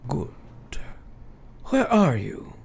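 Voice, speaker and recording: An actor playing a grave, commanding elderly professor in a radio play, speaking in formal, old-fashioned, deliberate phrases. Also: male voice, fairly high pitch, wildly swooping pitch, gravelly, darkish tone, breathy edge, heavily acted. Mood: intent.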